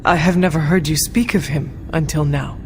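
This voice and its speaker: A woman playing a stoic, resolute warrior in a video game cutscene, with a formal, measured, deadpan delivery. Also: deep voice, faint music behind, lightly acted. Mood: defiant.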